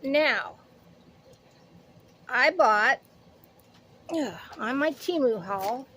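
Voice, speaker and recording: A woman's voice: sits high, lively intonation, extremely slow, sharp tone, husky. A woman in her late fifties chatting casually through a shopping haul, rambling and interrupting herself as she goes. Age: late 50s. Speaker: haul vlogger. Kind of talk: casual product haul chat.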